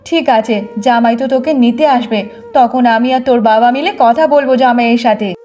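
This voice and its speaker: A woman's voice: fairly high pitch, normal speed, warm tone, faint music behind, close to an everyday voice. A woman voicing a concerned older village mother in a cartoon, soothing and advising her daughter. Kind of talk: Bengali moral-story cartoon dubbing.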